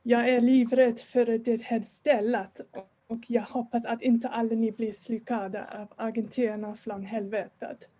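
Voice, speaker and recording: Wimpy, frightened brother, played by a woman, soft-toned and mellow, earnest deadpan delivery. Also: fairly high pitch, a little slow, hardly any pauses. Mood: fearful farewell. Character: wimpy, frightened Swedish-speaking brother of a band member.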